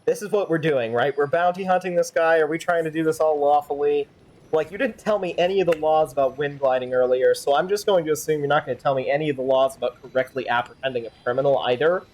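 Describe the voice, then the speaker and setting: A woman's voice: sits low, quick pace, darkish tone. A woman streaming a game, improvising fan-dub commentary in a wry, sardonic, rambling way. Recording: faint game music behind. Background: faint game music.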